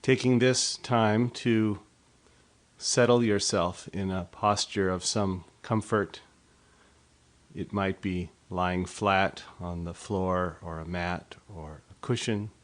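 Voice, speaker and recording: A man leading a guided body scan meditation in a slow, soft, unhurried way. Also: sits low, steady tone.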